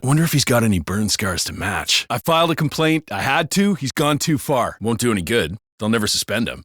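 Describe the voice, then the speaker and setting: A sardonic American beat cop from a video game, swapping gossip in coarse everyday speech. His medium-pitched voice moves up and down in lively swings and is recorded close and dry. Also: no echo. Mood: casual, then aggrieved. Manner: colloquial, sardonic. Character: gossiping, foul-mouthed American male beat cops. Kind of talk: casual scripted banter for a video game.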